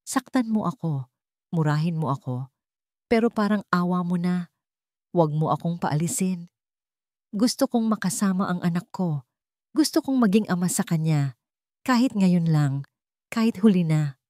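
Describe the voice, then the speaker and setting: A woman's voice: fairly low pitch, animated, normal speed, pauses often, dark tone, no room sound, close to an everyday voice. Casting: female narrator as anguished young male CEO, pleading and remorseful, Tagalog radio drama, dry close recording. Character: remorseful wealthy young CEO father, begging forgiveness.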